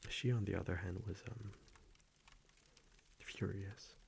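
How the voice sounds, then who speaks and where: A man playing a gentle, confiding vampire boyfriend in an intimate ASMR roleplay, speaking hushed and hesitant and often slipping into a whisper. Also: deep voice, steady tone, slow, vocal fry, a little hiss or hum, heavily acted. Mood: quietly confiding.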